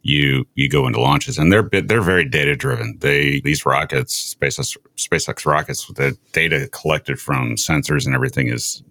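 A man's voice: a bass voice, normal speed, few pauses, sharp tone, no echo, studio quality. A man hosting a show, chatting casually in an interview. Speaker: show host.

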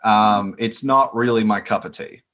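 A man's voice: lowish voice, moderate pace. A man with an Australian accent, a podcast guest chatting casually and laughing now and then. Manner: relaxed, chatty, laughs often. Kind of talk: casual chat.

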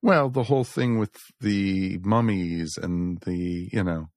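A man's voice: deep voice, takes it slowly, no echo, studio quality. A man chatting casually and enthusiastically as a panelist on a podcast. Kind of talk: casual chat.

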